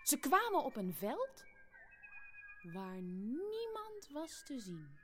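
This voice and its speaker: A high-voiced, imaginative big sister. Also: female voice, wildly swooping pitch, slow pace, a bit bright, slight background noise, full character voice. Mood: gentle narrating. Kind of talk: theatrical storytelling in a family audio play.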